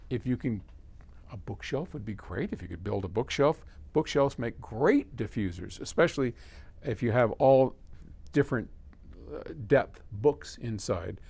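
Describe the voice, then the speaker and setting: A man's voice: medium pitch, darkish tone. A man hosting a casual explainer, answering a question in a folksy, conversational way as he thinks aloud. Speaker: host answering a listener's audio question. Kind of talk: casual explainer, reading a letter then advising.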